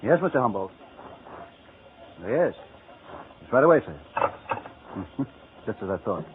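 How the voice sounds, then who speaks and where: An actor playing a joshing, gossipy store clerk in an old radio drama, casual and slangy with an American accent. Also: male voice, mid-range voice, sing-song, unhurried. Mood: chummy. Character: joshing, gossipy male department store coworker. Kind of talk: 1940s radio acting. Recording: tinny, hissy vintage radio recording.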